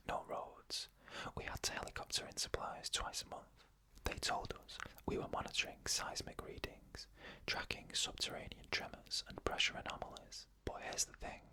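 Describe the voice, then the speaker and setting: An ASMR storyteller reading eerie first-person stories in a fully unvoiced whisper, slow and measured, with drawn-out pauses. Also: sharp tone.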